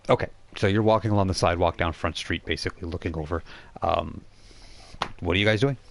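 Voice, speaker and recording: Low-voiced man running a tabletop game. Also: creaky. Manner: halting, informal, explanatory. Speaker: game master. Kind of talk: improvised narration of a tabletop role-playing game.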